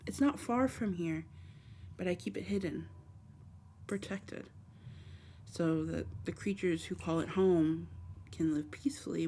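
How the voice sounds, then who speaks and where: Woman playing a soft, mellow, lonely dark fae. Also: fairly low pitch. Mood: gentle, caring. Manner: tender, hesitant, apologetic, formal storybook phrasing. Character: gentle, lonely, abandoned female dark fae healer. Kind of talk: ASMR roleplay, softly spoken to the listener. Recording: faint background noise.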